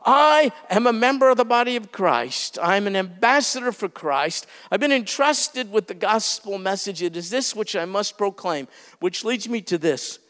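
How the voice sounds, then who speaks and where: A man's voice: high-pitched, lively intonation, moderate pace, a bit bright, big-room sound. A male pastor preaching a sermon, earnest and exhorting, building emphasis as he urges his listeners.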